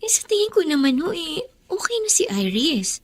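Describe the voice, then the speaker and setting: Caring young daughter in filipino radio drama, high girlish pitch, super lively swings, earnest. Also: moderate pace. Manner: respectful, earnest. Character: caring, protective, outspoken schoolgirl daughter. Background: music under the voice.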